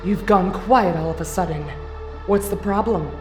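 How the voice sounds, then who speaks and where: A woman voices a cold young female warrior bent on revenge in an anime fan drama. Her delivery is deliberate and accusing at a middle pitch. The sound is echoey, with light music behind. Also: lightly acted. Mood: accusing, vengeful.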